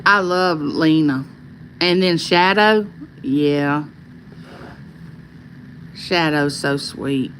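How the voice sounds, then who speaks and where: A woman with a Southern Arkansas accent hosts a casual livestream, reading viewer comments aloud and answering each by name in a calming voice. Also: deep voice, extremely slow, long pauses, slight background noise. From California. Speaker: livestream host.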